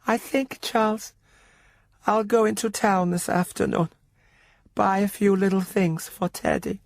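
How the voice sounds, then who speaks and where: Radio drama aunt, elderly and genteel: prim, doting, slightly sinister, careful phrasing. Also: male voice, high voice, steady tone, a little slow, gravelly, no echo, pitched above the performer's own voice. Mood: disappointed, prim. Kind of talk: old-fashioned radio drama reading.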